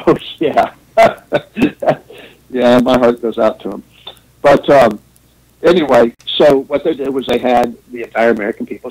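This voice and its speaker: A man's voice: mid-range voice, a little mellow, husky, rough recording. A man who grew up in Japan, hosting a radio talk show, rambling through an opinionated monologue with wry chuckles.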